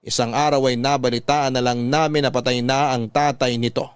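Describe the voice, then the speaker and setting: A man narrating a scripted letter in classic radio storytelling style, reflective and measured. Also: mid-range voice, steady tone. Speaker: storyteller reading a listener's letter. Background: music.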